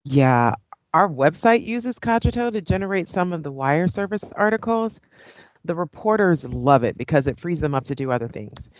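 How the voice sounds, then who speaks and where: A woman's voice: low-pitched, expressive, normal speed, highs cut off. A woman playing a polite, professional current-affairs host in an audio play. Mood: casual, friendly. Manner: courteous, professional.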